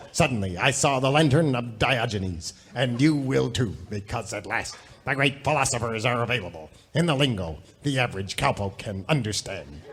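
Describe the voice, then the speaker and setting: A self-important American pitchman doctor in a comedy radio ad. He talks in a mid-range voice, with folksy salesmanship and a brusque streak. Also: slightly rough. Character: pompous folksy married pitchman doctor, brusque with his wife. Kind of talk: parody radio advertisement.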